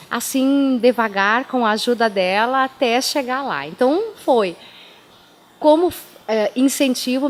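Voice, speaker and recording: Native German speaker from southern Brazil; female pastor, interview guest. Slightly high voice, chatty anecdotal delivery. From Timbó, southern Brazil. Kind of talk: radio interview, life storytelling.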